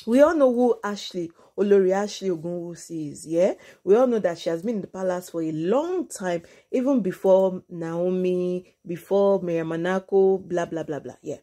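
Slightly husky Nigerian woman host. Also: lowish voice, normal speed, few pauses, dark tone. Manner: switches between English and Yoruba. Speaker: online gossip channel host. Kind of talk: informal celebrity news commentary.

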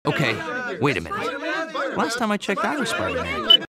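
An actor playing a youthful, wisecracking superhero in a video game, with a sarcastic, quippy delivery. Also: male voice, high-pitched, huge pitch swings, moderate pace, over a noisy crowd, heavily acted. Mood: incredulous.

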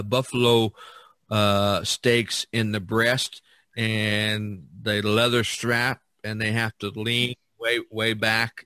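A man hosting a podcast in casual discussion, halting and repeating himself as he searches for words. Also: fairly low pitch, sharp tone, no echo. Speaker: podcast host.

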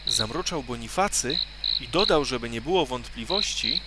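A man narrating a children's story in an expressive, dramatic way. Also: mid-range voice, normal speed, heavy background noise.

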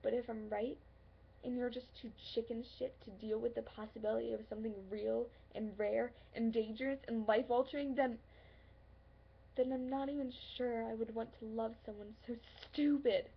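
Woman acting a high, creaky, lovelorn young woman. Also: steady tone. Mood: defiant. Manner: earnest, pleading, halting with pauses. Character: hurt, insecure, lovelorn young woman confronting her friend. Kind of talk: dramatic stage monologue. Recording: dull lo-fi, faint background noise.

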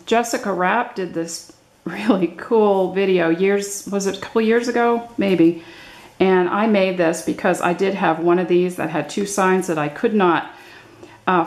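An older woman giving a casual, unscripted show-and-tell as a craft vlogger, halting and thinking aloud as she goes. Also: fairly low pitch, creaky, a little mellow. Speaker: craft vlogger showing her handmade journals. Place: indoors at a table, hands-only overhead camera.

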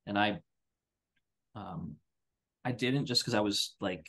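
A man's voice: on the low side, slow, vocal fry, fairly bright tone. A tired man in his thirties chatting casually on a podcast in a rambling, self-deprecating way. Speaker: podcast co-host. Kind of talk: casual chat. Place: home room, video call. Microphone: headset.

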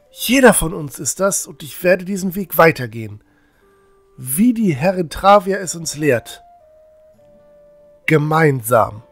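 A man plays an earnest, pious village boy in his teens. He speaks very slowly and formally, as a peacemaker in a fantasy audio drama, over faint music. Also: on the high side, no room sound. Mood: solemn, resolute. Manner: deliberate, formal, conciliatory.

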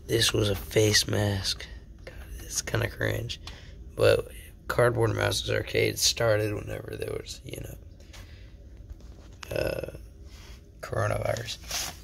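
A man's voice: fairly low pitch, little pitch movement, slow pace, creaky, long pauses, fairly bright tone, a little hiss or hum. A young man hosting a casual show-and-tell vlog at home, a little sick, rambling and self-deprecating, often dropping into whispers. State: sick, voice slightly off. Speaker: channel host.

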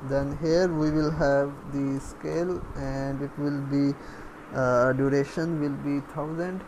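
A man giving a step-by-step screencast programming lesson in a halting way, as if thinking aloud while typing. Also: on the high side, fairly even intonation, background noise. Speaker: programming tutorial instructor. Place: voice-over recorded over screen capture.

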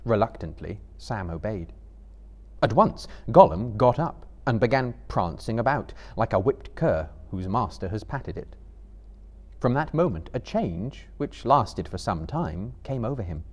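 A man in his thirties giving a measured, theatrical literary narration as a live dramatic reader. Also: fairly low pitch, big pitch swings, a little mellow. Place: seated indoors on a book-lined stage before a live audience.

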